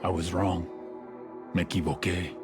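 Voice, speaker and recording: An actor playing a brooding, menacing male cartel boss, speaking gravely and deliberately in game drama. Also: low voice, flat delivery, music in the background, lightly acted. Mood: brooding, penitent.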